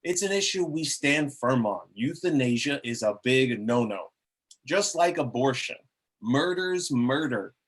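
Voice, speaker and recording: A man acts out a glib cardinal giving a public speech at a table read. His voice is middle-pitched and husky. He addresses the crowd in an oratorical, salesmanlike way. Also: animated, unhurried. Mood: exasperated, insistent. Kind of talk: amateur script table reading of a public speech.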